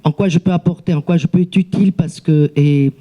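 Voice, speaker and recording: A woman speaks off the cuff in a seminar discussion, in a language that is not her mother tongue. Her voice is very mellow and dark. She proceeds haltingly, circling back and repeating words as she searches for the next one. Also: low voice, steady tone, dull audio. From outside France, immigrant. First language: not French. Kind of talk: spontaneous remarks in a seminar debate.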